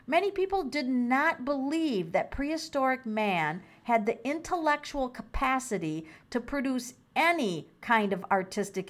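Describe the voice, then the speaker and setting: A middle-aged woman doing a casual tarot reading, musing aloud, exclaiming and repeating her phrases. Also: mid-range voice. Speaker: tarot reader.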